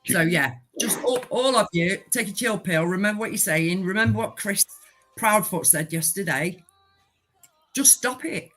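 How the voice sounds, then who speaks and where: A woman from the UK calls in by phone to speak on a live panel. Her voice is bright and forceful at a middling pitch. She speaks emphatically and repeats herself to drive her point home. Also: expressive, normal speed, faint music behind. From United Kingdom. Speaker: caller on a live audio panel. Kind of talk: impassioned call-in comment. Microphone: phone call.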